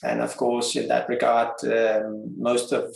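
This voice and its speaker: A man's voice: mid-range voice, monotone, unhurried, creaky. Distant, echoey male webinar host. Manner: hesitant, apologetic, filler-heavy questions. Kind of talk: moderating questions. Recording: distant echoey room mic with faint noise.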